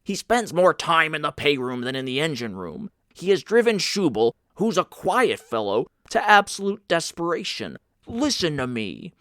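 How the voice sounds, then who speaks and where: A man's voice: fairly high pitch, sing-song, normal speed, few pauses, a bit bright, no room sound, studio quality, voice pushed up. A man voicing a contemptuous senior ship's purser in an audiobook, haranguing with emphatic, indignant questions. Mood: indignant accusing.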